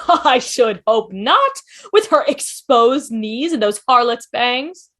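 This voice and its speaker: Prim ghostly lady, played by a woman, haughty and disdainful, high register, comedy script read. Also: lively intonation, slow, fairly bright tone, exaggerated voice. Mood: scornful. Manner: disdainful, old-fashioned phrasing.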